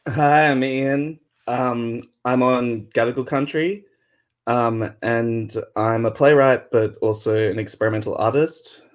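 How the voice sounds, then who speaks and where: A man, a playwright and experimental artist, introducing himself slowly and hesitantly on an online panel. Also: medium pitch, fairly even intonation.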